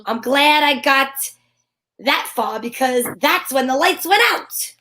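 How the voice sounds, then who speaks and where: A woman's voice: sits high, animated, slow pace, sharp tone, exaggerated voice. A woman playing a plucky, wisecracking female private eye in an old-time radio drama, telling her story dramatically. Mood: dramatic, suspenseful. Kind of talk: old-time radio detective acting, first-person narration.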